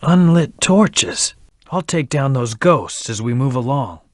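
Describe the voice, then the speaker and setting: A man's voice: medium pitch, animated, slow pace, no echo, studio quality. A man voicing a brooding, terse hedgehog antihero in a video game, with a serious, clipped, commanding delivery. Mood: brooding, measured. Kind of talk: video game character voice lines.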